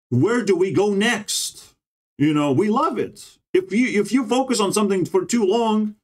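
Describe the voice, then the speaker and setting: A man in a rambling casual monologue who swears often, ends many phrases with "bro", and keeps jumping between high and low pitch. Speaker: vlogger.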